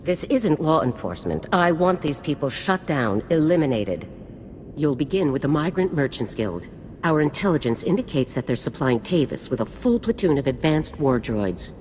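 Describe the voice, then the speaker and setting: Very deep-voiced, commanding female general. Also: sing-song, normal speed, darkish tone. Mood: grave, commanding. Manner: clipped, authoritative, formal. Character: stern, commanding female special forces general. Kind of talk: video game voice acting. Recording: long reverb with faint noise.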